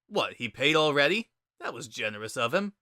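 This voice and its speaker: Story reading: easygoing skeleton big brother performed by a man, offhand, dry and deadpan, bright dry sound. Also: medium pitch, brisk, no room sound. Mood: easygoing, wry. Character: laid-back, wry skeleton older brother. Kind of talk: dramatic reading of a fan story.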